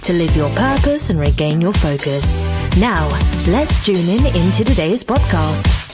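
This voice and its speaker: Low, lively woman announcer over music. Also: measured pace. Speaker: podcast intro announcer. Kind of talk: scripted intro narration. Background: loud music.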